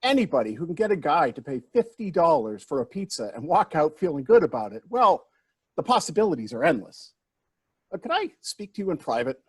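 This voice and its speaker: A man's voice: on the high side, big pitch swings, fairly quick, slightly rough. A man acting in a play reading as a polite, apologetic investor, formal and slightly bumbling. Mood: eager, courteous. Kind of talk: virtual stage play reading.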